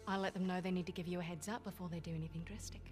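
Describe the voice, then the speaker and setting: A woman's voice: fairly low pitch, fairly even intonation, rapid-fire, over music, natural voice. An actress playing a tough, commanding female mercenary leader in a video game cutscene, blunt and clipped. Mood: firm.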